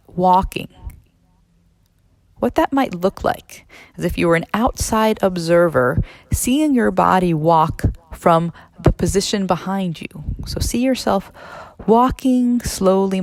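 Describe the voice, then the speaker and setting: A woman narrating a guided meditation as an instructor, in a measured, instructional way with pauses between phrases. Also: fairly low pitch, dry recording. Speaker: neurologist leading a guided meditation.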